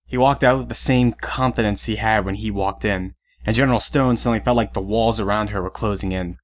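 Low-pitched male story narrator. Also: steady tone, a little fast, a little hiss or hum. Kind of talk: scripted audio drama narration.

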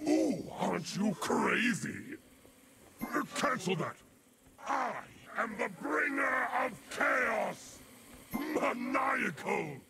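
A male actor voicing a maniacal, chaotic undead lich necromancer for a video game, with a gravelly, theatrical delivery. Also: extremely high pitch, expressive, very noisy, heavily acted. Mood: manic, gloating. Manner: theatrical, drawn-out, manic swings. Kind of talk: video game character voice lines.

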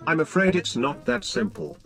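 A man playing a whiny, scheming hacker villain in an animated gaming roleplay, theatrical and given to internet slang. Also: fairly high pitch, normal speed, gravelly, soft background music. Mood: worried. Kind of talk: animated Minecraft kids roleplay acting.

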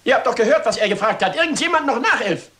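A man's voice: very high voice, expressive, quick pace, a bit bright, slight background noise. A man acting a vain, irascible navy captain in a German crime radio play, pompous and huffy. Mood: huffy, blustering.

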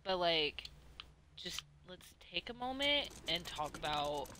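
A woman's voice: lowish voice, very animated, slow pace, noisy background. A woman streaming a game and chatting casually, hesitant and rambling, with free swearing. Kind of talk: casual livestream chat while playing.

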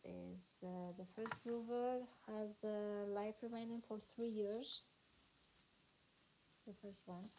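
A woman's voice: medium pitch, steady tone, slow pace, a little mellow, poor audio. Hesitant woman student, faint hiss. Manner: hesitant, many fillers, reads numbers aloud. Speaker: accounting student. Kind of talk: working through a problem aloud.

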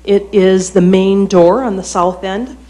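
A woman of middle pitch explains project details clearly and matter-of-factly. She speaks into a handheld microphone at a podium in a council chamber. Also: fairly even intonation, a little slow, dark tone. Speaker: project staff reporting to council. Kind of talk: explanatory report.

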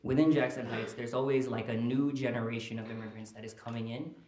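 A man's voice: mid-range voice, fairly even intonation, quick pace, mellow. A young man from Nepal who grew up in the United States, giving an informal presentation in a hesitant, explanatory way. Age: young adult. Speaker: student reporting fellow giving a presentation. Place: indoor conference room with small audience. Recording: roomy, echoing presentation room.

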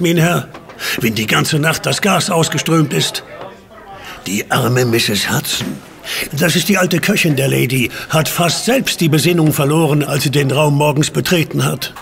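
An actor playing a chatty, opinionated village innkeeper in a German audio drama, gossipy and emphatic. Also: male voice, fairly high pitch, moderate pace, creaky, fairly bright tone, soft background music. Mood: marvelling.

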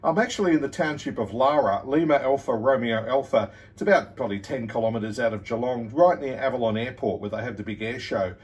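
A man's voice: medium pitch, a little fast, few pauses, recorded from a distance. An Australian man chatting casually and encouragingly as an amateur radio operator, recorded indoors on a phone camera. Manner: friendly, encouraging. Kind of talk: casual ham radio chat. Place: indoor room at radio desk.

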